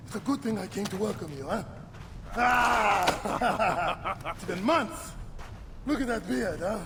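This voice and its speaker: An actor playing a jovial, hearty friend in a video game cutscene, chuckling and expressive. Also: male voice, high-pitched, slow pace, exaggerated voice. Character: warm jovial male friend and local helper. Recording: game cutscene with clear background noise.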